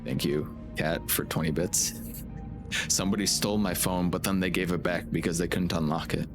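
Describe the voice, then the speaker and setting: Man, low pitch, casual gaming commentary, informal and sarcastic, clear background music. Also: fairly even intonation, moderate pace. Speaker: game livestreamer. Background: game music.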